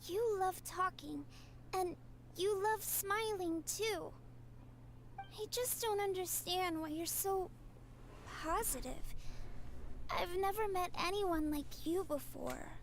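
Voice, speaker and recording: An actress voicing a shy, sickly little girl in a game, speaking softly and hesitantly. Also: extremely high pitch, takes it slowly, long pauses, a little hiss or hum. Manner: hesitant, soft-spoken. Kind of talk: game voice acting.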